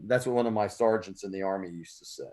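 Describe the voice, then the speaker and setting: A man's voice: lowish voice, fast, warm tone. An American man hosting a poetry radio show, talking casually and earnestly, often repeating words as he thinks aloud. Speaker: poet and radio show host. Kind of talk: casual reflective conversation.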